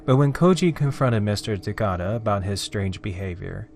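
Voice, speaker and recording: A man narrating a scripted horror story in a theatrical, deliberate, dramatic way, with drawn-out phrases. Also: on the low side, animated, creaky, darkish tone, a little hiss or hum. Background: background music.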